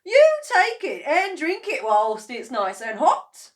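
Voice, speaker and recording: A woman's voice: extremely high pitch, sing-song, slow, voice pushed up, heavily acted. Woman doing a blustering farmer dad. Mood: sweet. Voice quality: loud, bossy. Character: excitable blustering farmer father.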